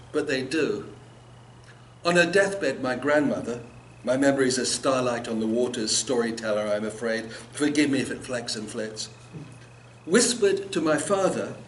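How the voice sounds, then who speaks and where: An older man reads his poetry at a live event with an expressive, dramatic literary delivery. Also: mid-range voice. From South Africa and England. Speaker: poet. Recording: noisy live event room recording.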